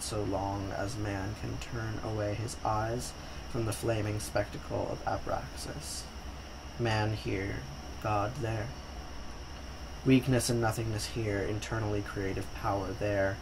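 A young man reading a mystical text aloud in a slow, solemn way, in a small room at a camera on his desk. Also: on the low side, little pitch movement, noisy background. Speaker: reader. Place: small decorated room indoors.

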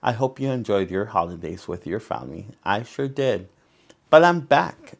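A man's voice: on the low side, big pitch swings, moderate pace, vocal fry, husky. A man in his forties with a Salvadoran accent, hosting a storytime and reading a picture book aloud, explaining things to children. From San Salvador, El Salvador. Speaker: storytime host. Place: indoor room in front of wooden backdrop.

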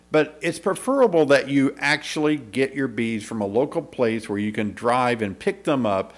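A man in his fifties, a beekeeping instructor giving an upbeat, persuasive video lesson to camera in a small indoor studio, speaking into a desk mic. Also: mid-range voice. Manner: upbeat, persuasive, clear teaching delivery. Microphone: desk microphone in front.

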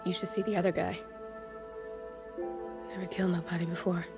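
An actress playing a tough, subdued accomplice in a video game cutscene, informal and understated in delivery, over loud music. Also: female voice, on the low side, flat delivery, brisk, close to an everyday voice. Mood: subdued, wry.

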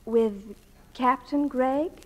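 A woman's voice: sits high, very slow, full character voice. A woman acting a breezy, affectionate eighteen-year-old student daughter in 1940s-style radio drama. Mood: curious, teasing.

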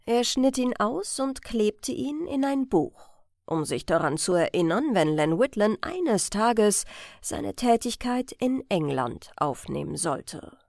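A woman's voice: medium pitch, sing-song, takes it slowly, creaky, darkish tone, no room sound, studio quality. A woman narrating a scripted crime story as an audiobook reader.

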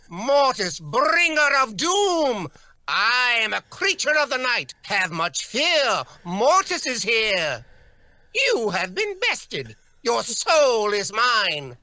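A man's voice: very high-pitched, sing-song, takes it slowly, pushed and bright, dry recording, heavily acted. A man playing a grandiose, melodramatic vampire villain, delivering theatrical video game lines. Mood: grandiose menace.

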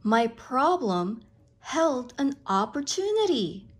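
A woman reading a picture book aloud to children, with expressive, deliberate, dramatic phrasing. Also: high-pitched, breathy edge.

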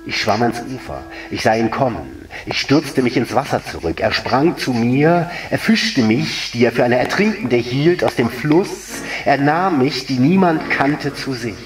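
A man's voice: medium pitch, animated, unhurried, few pauses, close to an everyday voice. A man voicing an anguished, lovelorn female water spirit in a solemn, lyrical dramatic monologue. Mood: sorrowful. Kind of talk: literary dramatic monologue recitation. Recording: echoey room, distant mic, music underneath. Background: music.

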